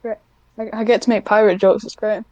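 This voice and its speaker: A woman's voice: mid-range voice, flat delivery, a little fast, darkish tone, no echo, muffled recording. A young woman chatting casually as an interview guest, with a self-deprecating, dryly joking manner. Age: young adult. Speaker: podcast guest, script writer.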